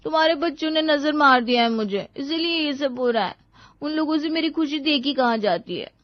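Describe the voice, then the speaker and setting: A woman's voice: high voice, lively intonation, fairly quick, no room sound, highs cut off, pitched above the performer's own voice. A woman voicing a spoiled, sulky teenage daughter in a cartoon moral story, whining and snapping petulantly. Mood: accusing.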